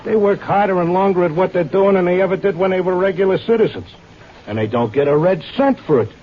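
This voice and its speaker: A man's voice: high-pitched, animated, quick pace, slight background noise. An actor playing a stubborn, devoted horse-race handicapper in a vintage radio drama, talking in slangy wise-guy style. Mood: insistent. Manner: slangy, streetwise Broadway wise-guy talk. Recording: dull old radio recording with music.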